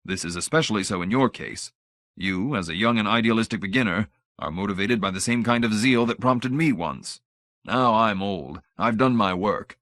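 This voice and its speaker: A man's voice: fairly low pitch, normal speed, a bit bright, no room sound, clean studio sound, close to an everyday voice. A man voicing a fussy, pompous old research director in an audiobook, idealistic and grand in manner but timid. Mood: pompous, earnest.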